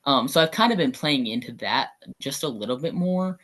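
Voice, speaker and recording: A man's voice: mid-range voice, animated, moderate pace, a bit bright. Chatty young man rambling casually. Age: young adult. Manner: chatty, rambling, frequent "like" fillers. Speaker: guest actor. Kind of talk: casual podcast chat.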